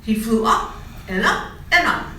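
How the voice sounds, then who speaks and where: A woman reading a children's storybook aloud in an expressive, dramatic storytelling style. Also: on the high side, measured pace. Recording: echoey room, distant mic, faint noise.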